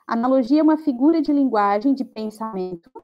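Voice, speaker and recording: Brazilian teacher giving an online lesson. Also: female voice, a little high, brisk, lo-fi sound, soft background music. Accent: Brazilian Portuguese. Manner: explains patiently, checks in with students often. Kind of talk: online class lecture. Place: online class, remote.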